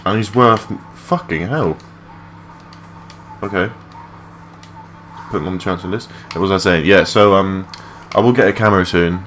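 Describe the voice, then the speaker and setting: A young man with a low voice giving rambling, matey, sweary gameplay commentary in a casual unscripted way. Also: moderate pace, long pauses, fairly bright tone. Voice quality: low-pitched voice. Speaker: gaming video creator. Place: his room at home. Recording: clearly noisy home mic recording.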